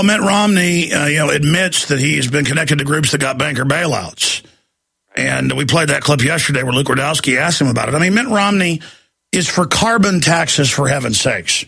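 Hoarse, raspy radio host. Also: male voice, sharp tone. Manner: emphatic, ranting, climbs high when worked up. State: losing his voice. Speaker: radio talk show host. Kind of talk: radio call-in talk. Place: radio studio. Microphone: studio microphone.

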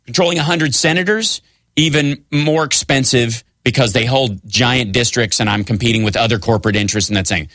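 A man appearing as a studio guest on a talk show, sarcastic and animated while giving his opinions. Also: medium pitch, a little fast, no room sound. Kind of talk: political discussion.

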